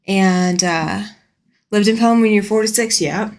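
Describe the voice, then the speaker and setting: A woman's voice: mid-range voice, moderate pace, darkish tone. Chatty woman live-streaming. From Alabama. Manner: chatty, rambling, answers viewer comments aloud. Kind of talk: casual live-stream chat. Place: home sewing room.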